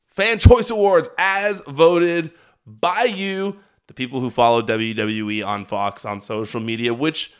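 A man hosting a wrestling podcast, talking in an energetic, hyped-up, chatty way while recovering from being sick. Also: mid-range voice, clean tone. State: recovering from illness. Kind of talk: casual podcast recap.

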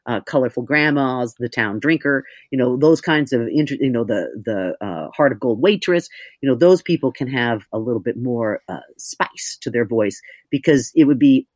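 A woman with a deep voice, an audiobook narrator talking candidly in an interview, thoughtful and self-mocking. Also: normal speed, a little mellow, dry recording.

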